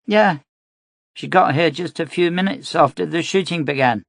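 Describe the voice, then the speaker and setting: A male narrator voicing an earnest, stubborn young girl in a storybook reading, pitched higher and pleading. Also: normal speed, creaky, a bit bright, dry recording, studio quality.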